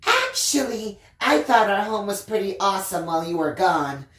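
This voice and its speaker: A woman's voice: mid-range voice, big pitch swings, moderate pace, a bit bright, echoey room, distant mic. A woman voicing a bratty, teasing little girl in a storybook reading, with a sing-song, mocking delivery. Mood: mock sweet, teasing. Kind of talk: dramatised audiobook reading.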